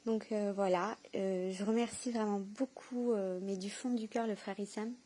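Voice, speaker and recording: A woman giving a spontaneous, heartfelt testimony, speaking haltingly and earnestly as she searches for her words. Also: mid-range voice, steady tone, mellow. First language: French. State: emotional, tearful with joy. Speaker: person giving a personal testimony.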